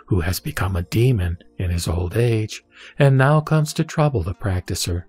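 A man reading scripture aloud in a solemn, measured recitation. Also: medium pitch, a little mellow, no room sound. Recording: dry close mic with faint background music.

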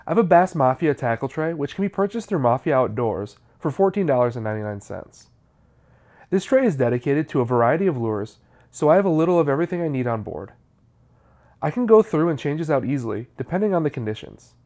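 A man's voice: fairly high pitch, sing-song, fast talker, a little mellow. A man, narrating a how-to video in a scripted, explanatory style.

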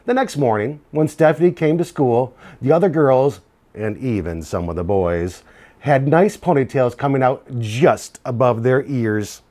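A middle-aged man reads a picture book aloud to children. His voice sits at an average pitch, and he tells the story deliberately, leaving dramatic pauses. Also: warm tone. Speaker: school administrator reading aloud. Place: small indoor office. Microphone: lapel mic.

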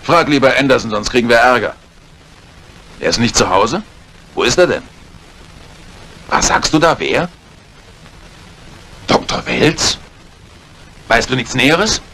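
A brisk police inspector from a vintage radio mystery. His sits at a medium pitch. He talks in a clipped, businesslike and matter-of-fact way. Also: expressive, pushed and bright, exaggerated voice, rough recording. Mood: brisk, alarmed. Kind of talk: 1950s German radio play acting.

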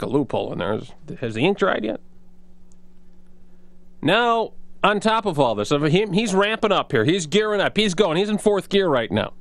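A man with a high-pitched voice in a dry, close studio sound. He hosts talk radio and delivers commentary in a mocking, sarcastic way. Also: no echo.

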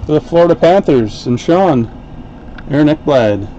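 A man hosting a live card break, calling out each card in an unhurried, chatty way. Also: fairly high pitch, expressive, dark tone, dull audio, a little hiss or hum. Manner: unhurried, chatty play-by-play while opening packs. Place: home card-break room, indoors.

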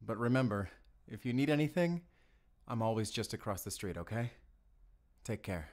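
A man playing a gentle, considerate father and neighbour in a naturalistic audio roleplay, soft-spoken and a little hesitant. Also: lowish voice, expressive, moderate pace, a little hiss or hum, close to an everyday voice. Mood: gentle, concerned. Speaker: voice actor in audio drama. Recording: echoey, reverberant, loud music bed.

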